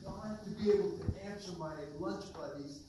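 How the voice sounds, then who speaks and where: Blunt, loud audience man at a town hall, plain tone; distant, echoing, noisy recording. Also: sits high, a little fast. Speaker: audience member asking a question. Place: community town hall meeting room. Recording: echoey hall, voice from far off, noisy.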